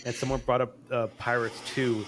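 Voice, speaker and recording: A man with a mid-range voice co-hosting a movie podcast. He gets excited, laughs easily and stumbles over his words as he tells stories. Faint noise and small clicks sit behind him. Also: mid-range voice, normal speed. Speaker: podcast co-host. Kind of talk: casual chat.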